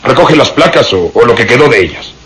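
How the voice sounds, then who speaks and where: An actor playing a heavyset, gruff and decisive police inspector in a radio drama, brusque, commanding and easily irritated. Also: male voice, mid-range voice, lively intonation, a little fast, a bit bright, close to an everyday voice. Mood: brisk, commanding. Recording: noisy radio drama recording.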